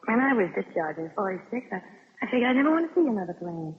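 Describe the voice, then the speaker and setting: Actress as a weary young American nurse, hollow old radio. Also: female voice, mid-range voice, sing-song, very fast, in a large hall, phone-quality sound, natural voice. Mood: reflective, weary. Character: weary, kind young American army flight nurse Bonnie. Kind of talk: 1950s radio drama acting.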